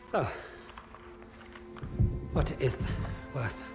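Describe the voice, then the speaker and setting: An actor playing a commanding officer in a video game cutscene. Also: male voice, drawn-out words, over loud music. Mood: cold, commanding. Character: agitated German Nazi officer interrogating prisoners.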